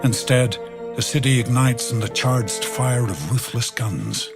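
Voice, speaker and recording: An actor as a solemn radio-drama narrator, reciting poetic lines in a measured way. His pitch is medium, and loud fiddle music plays behind him. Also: expressive.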